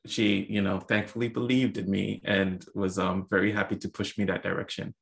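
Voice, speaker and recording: A young adult man from the US Virgin Islands answers interview questions in a casual, thoughtful way. His voice sits low and has a gravelly edge. He pauses often, restarting his sentences as he works out what to say. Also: little pitch movement. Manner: thoughtful, many fillers and restarts. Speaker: author guest.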